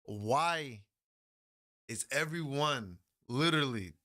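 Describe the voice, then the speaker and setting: A man's voice: medium pitch, lively intonation, slow, bright, forceful tone. A young man giving a casual, slangy reaction commentary as a YouTuber, laughing often and rambling into asides.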